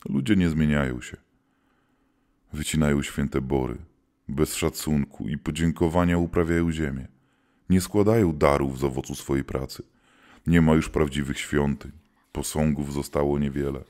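A man playing a world-weary, gruff hunter of demons who keeps the old gods, in a theatrical scripted audio drama. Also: a bass voice, moderate pace, darkish tone. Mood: grave, weary.

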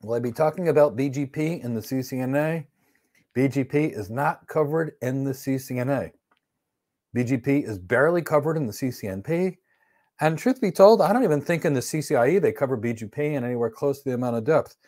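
A middle-aged man with a voice of middling pitch runs a live networking class. He is blunt and emphatic and answers each question matter-of-factly. He speaks from an indoor studio to a camera framed at chest height. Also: brisk, a little mellow. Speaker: networking instructor. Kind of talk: live-stream Q&A teaching. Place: indoor studio with virtual backdrop.

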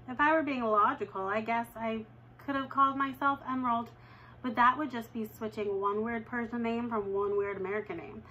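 A young woman reading a children's novel aloud at home, with lively jumps in pitch when she reads dialogue. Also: slight background noise, low-quality recording. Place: living room at home, indoors.

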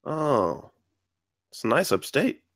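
A man's voice: mid-range voice, very animated, very slow, fairly bright tone, no room sound, clean studio sound, full character voice. A man playing a friendly, curious nineteen-year-old student in a screenplay table read. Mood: friendly, tentative.

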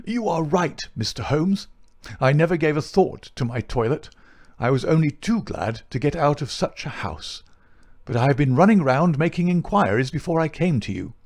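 A man voicing a pompous, indignant, respectable older gentleman in an audiobook story, formal and huffy. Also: on the high side, lively intonation, normal speed, voice pushed up. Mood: flustered, huffy. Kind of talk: audiobook character acting.

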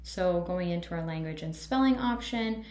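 A woman giving an upbeat, friendly voice-over walkthrough of software settings. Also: on the low side, expressive, moderate pace, roomy sound. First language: English. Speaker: product tutorial presenter. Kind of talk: screen-recorded software walkthrough.

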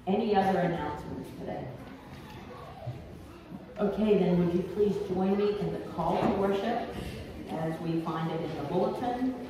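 A woman in her sixties, a pastor, giving church announcements and leading a call to worship in a homely, unhurried way. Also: lowish voice. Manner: homely, unhurried, with frequent ums. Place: church sanctuary. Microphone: pulpit mic from a far camera. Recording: echoey church from far off, noisy.

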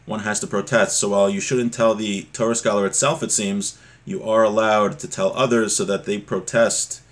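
Middle-aged man teaching, conversational and halting. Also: lowish voice, level tone. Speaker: religious teacher. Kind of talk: informal religious text lesson, explaining with examples. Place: small home room, indoors. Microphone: webcam or laptop mic at desk distance.

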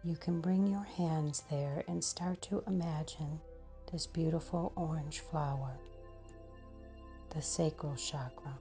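A woman leading a slow guided meditation in a breathy, husky voice that often nears a whisper, pausing between short phrases. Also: low voice, fairly even intonation, mellow, soft background music.

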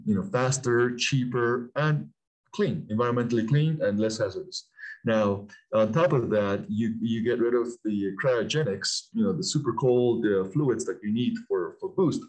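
A man in his forties from Peru, an aerospace CEO talking as a guest over a video call, halting with many fillers and restarts, then bursting into animated emphasis. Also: mid-range voice, poor audio. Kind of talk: casual interview talk.

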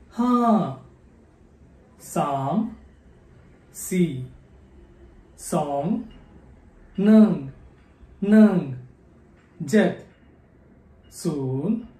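Slow-paced Indian man in an echoey room. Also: fairly high pitch, darkish tone. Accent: Indian English. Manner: counts number words one at a time, with tones that rise and fall. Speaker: memory athlete, channel host. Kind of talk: to-camera video with a slow, deliberate recitation.

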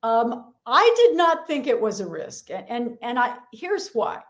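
A woman's voice: a little high, a little slow. A middle-aged woman, a leadership coach, talking energetically as a podcast guest. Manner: energetic, acts out quoted voices. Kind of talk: podcast interview.

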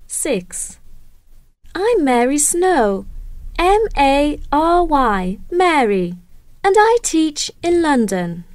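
Woman, high lively voice, slow careful pace, dry close sound, for a listening exercise. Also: dry recording. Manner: slow, clear, careful enunciation. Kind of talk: scripted English listening dialogue.

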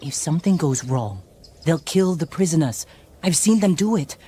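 A woman's voice: deep voice, animated, moderate pace, warm tone, husky. An actress playing a tough, pragmatic female survivor in a video game, blunt and intense but restrained. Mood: tense focus. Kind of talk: dramatic video game acting.

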